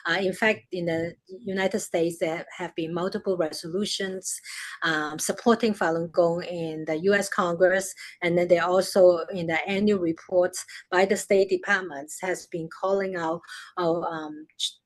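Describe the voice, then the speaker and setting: A woman's voice: fairly low pitch, steady tone, normal speed. An older woman from China with a Chinese accent, speaking as a guest in a town hall interview, earnest and explanatory with frequent fillers.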